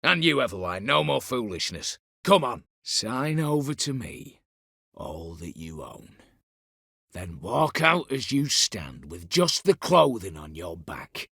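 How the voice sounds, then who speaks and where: An actor playing a shrewd, vengeful heist mastermind in a video game, speaking in a clipped, commanding way with archaic turns of phrase. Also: male voice, lowish voice, big pitch swings, takes it slowly, vocal fry, sharp tone, slightly rough, dry recording, clean studio sound, exaggerated voice. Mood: bitter, menacing. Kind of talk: dramatic video game voice acting.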